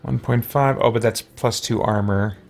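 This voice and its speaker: A man's voice: mid-range voice, fairly even intonation, moderate pace, gravelly, darkish tone. Man thinking aloud during gameplay. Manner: thinking aloud, muttering to himself. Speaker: let's play host. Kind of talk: casual gameplay commentary.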